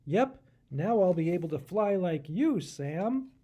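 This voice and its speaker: A man's voice: high voice, sing-song, unhurried, mellow, breathy edge, heavily acted. A man voicing an eager, impatient young male raccoon in a children's storybook, exclaiming with enthusiasm.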